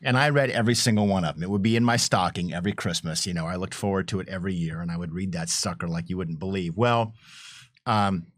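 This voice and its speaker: A middle-aged man reminiscing casually as a panel guest, enthusiastic and colloquial, in halting phrases. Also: low voice, no echo, studio quality.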